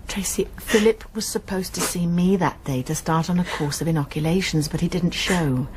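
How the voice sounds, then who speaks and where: An actress playing a calm, kindly college nurse with a reassuring, gently probing manner in a radio drama. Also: female voice, on the low side, normal speed, background noise, natural voice. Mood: gentle.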